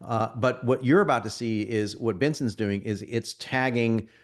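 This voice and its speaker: Guy with a bit of a cold walking people thru a marketing tool demo, chatty and salesy, keeps restarting sentences, mid-pitched voice. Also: normal speed. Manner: conversational, salesy, frequent restarts and stumbles. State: getting over a cold, sniffly. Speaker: marketing workshop presenter. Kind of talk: live software demo to an audience.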